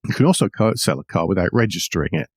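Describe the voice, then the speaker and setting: Male podcast host for news commentary: low voice, measured, wry, tight dry sound. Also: expressive, slightly rough, no room sound.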